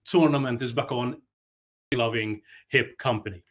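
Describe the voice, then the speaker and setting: A man's voice: medium pitch, moderate pace. Chatty Maltese-born man giving a talk. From Malta. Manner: chatty, self-deprecating, many fillers and restarts. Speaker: conference speaker. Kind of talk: conference talk.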